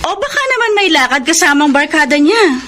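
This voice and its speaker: An actress with a Filipino accent playing a suspicious, protective older cousin in a radio melodrama, blunt in manner. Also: female voice, high-pitched, lively intonation, fairly quick, bright, forceful tone, a little hiss or hum. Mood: needling.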